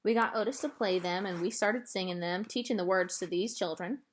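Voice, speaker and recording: A woman's voice: mid-range voice, brisk, slight background noise, lightly acted. A woman voicing a kindly old woman who tells a story in folksy dialect, in a storybook reading. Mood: amused storytelling.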